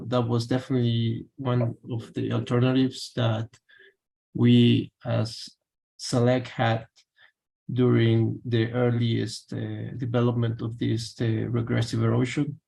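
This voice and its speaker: A man answering questions over a video call, halting with many fillers. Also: medium pitch, creaky, darkish tone, poor audio.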